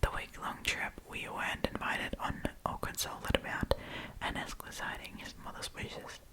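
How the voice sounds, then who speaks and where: A woman hosting an ASMR storytime, reading online stories aloud in a soft, breathy whisper. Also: normal speed. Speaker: host of an ASMR storytime channel.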